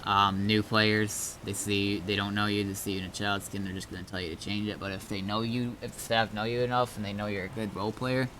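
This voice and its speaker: A man streaming a game as an admin, chatting casually in a halting, explanatory way with some swearing. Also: lowish voice, flat delivery, bright, forceful tone, a little hiss or hum, poor audio.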